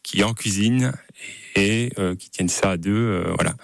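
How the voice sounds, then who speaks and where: A man's voice: fairly low pitch, normal speed, gravelly, no room sound. A man from Belgium, a farmer guest on a radio show, talking hesitantly with many fillers. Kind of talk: radio interview.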